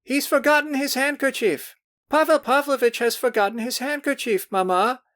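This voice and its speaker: A man voicing a group of excited young girls, calling out in ringing, impatient voices. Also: very high-pitched, moderate pace, voice pushed up. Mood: excited, teasing.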